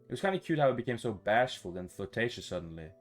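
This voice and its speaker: A man's voice: mid-range voice, sing-song, fast. A man reading a visual novel aloud as a gaming YouTuber, stumbling over words now and then and adding his reactions. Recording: music behind the voice.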